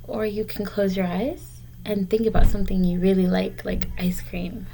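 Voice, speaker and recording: A woman's voice: mid-range voice, little pitch movement, normal speed, creaky, warm tone, slight background noise, rough recording. A woman teacher reading a picture book aloud to young children, explaining and encouraging them in simple, direct words.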